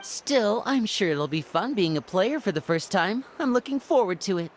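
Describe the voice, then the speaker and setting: A woman's voice: medium pitch, animated, moderate pace, slight background noise, close to an everyday voice. A woman voicing a suave young male magician in a video game, courteous, genial and easygoing. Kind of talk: video game character dialogue. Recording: faint music behind the voice.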